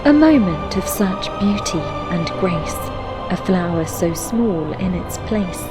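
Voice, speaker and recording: A woman playing a reflective narrator who recites melancholy fantasy poetry in a measured, solemn, lyrical way for a video game. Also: lowish voice, animated. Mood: gentle wonder. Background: music.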